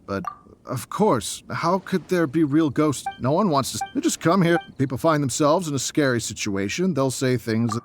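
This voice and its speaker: A man's voice: mid-range voice, slight background noise. A man playing a weary, grumbling worker who poses as a ghost in a video game, exasperated in manner.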